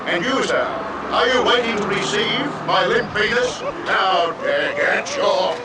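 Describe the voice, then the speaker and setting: A man orating loudly and theatrically in a pompous, indignant, grandiose manner. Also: high-pitched, measured pace. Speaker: man being arrested. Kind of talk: loud theatrical public oration. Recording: echoey distant archive footage, crowded street noise.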